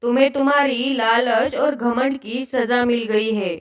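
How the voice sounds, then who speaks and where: A woman voicing a wise female fairy in a storybook cartoon, speaking in a measured, moralizing way. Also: a little high, fairly even intonation, natural voice. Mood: sternly reproving. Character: gentle, wise female fairy who tests and judges girls.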